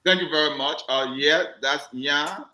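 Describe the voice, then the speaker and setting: A man's voice: on the high side, measured pace, bright, forceful tone. A man hosting a community talk show, joking and chatting easily and often repeating his words. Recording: roomy echoey sound.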